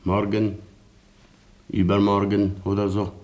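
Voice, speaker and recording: Deep-voiced, formal colonel. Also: male voice. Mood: grave, resigned. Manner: formal, measured, deadpan. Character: proud, sardonic Polish colonel, courtly and fatalistic. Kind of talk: German radio play acting.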